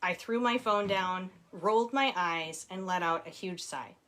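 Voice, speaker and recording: A woman's voice: fairly low pitch, a little slow, fairly bright tone. A woman author reading her own book aloud at home, laughing often and breaking off into casual personal asides. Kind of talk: audiobook reading with chatty asides. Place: home with children nearby.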